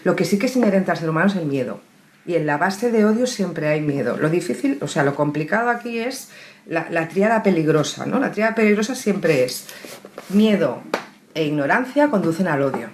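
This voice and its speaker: A woman's voice: lowish voice, expressive, a little fast, slight background noise. A woman with a Spain accent explaining as an expert criminologist in an interview, didactic and fond of rhetorical questions. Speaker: psychologist and criminologist, expert guest.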